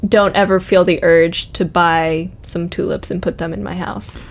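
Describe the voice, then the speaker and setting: A woman's voice: on the low side, fairly even intonation, normal speed, gravelly. A woman chatting casually as a podcast co-host, with a giggly, reactive manner. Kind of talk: casual chat.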